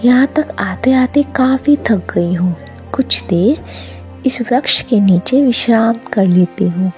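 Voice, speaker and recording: A vain young cartoon princess, played by a woman with a mellow, fairly high voice. She speaks in a self-important, dramatic way, taking her time over each phrase, with faint music behind. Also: expressive, takes it slowly. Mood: puzzled, proud. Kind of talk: cartoon storybook character voice.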